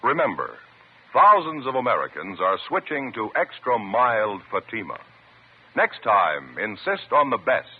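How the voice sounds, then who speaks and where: A man's voice: medium pitch, huge pitch swings, measured pace, husky. A male announcer reading a script in 1950s radio style, solemn and emphatic. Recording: tinny vintage radio recording with music.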